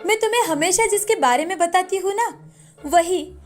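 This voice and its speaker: A woman's voice: very high voice, normal speed, pitched above the performer's own voice. A woman voicing a doting, class-proud wealthy mother of a grown son in a cartoon story, with an emotional, exclaiming manner. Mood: affectionate. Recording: music bed behind the voice.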